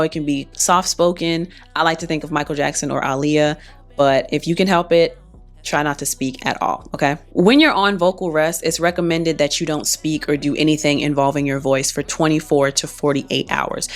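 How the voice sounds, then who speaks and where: A young woman talks casually and reflectively to her camera, her voice sitting low and soft. She is still recovering from laryngitis. Faint music plays beneath her. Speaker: singer and vlogger. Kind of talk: casual talking-to-camera vlog.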